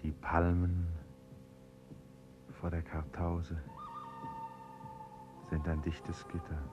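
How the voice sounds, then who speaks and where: Brooding radio-drama narrator remembering long ago; very deep, unhurried, literary, with music underneath. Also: male voice, little pitch movement, muffled recording. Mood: brooding, reflective. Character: reflective man recalling a mysterious year long ago. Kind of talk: 1970s German radio play monologue. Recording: studio radio play with music behind.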